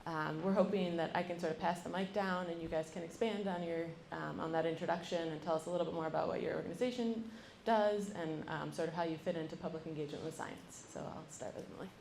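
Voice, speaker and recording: A woman's voice: lowish voice, fairly even intonation, fast talker, vocal fry, few pauses, darkish tone, a little hiss or hum. A young woman moderating a university panel, introducing each speaker with frequent ums. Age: young adult. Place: lecture room. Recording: roomy, mic from a distance.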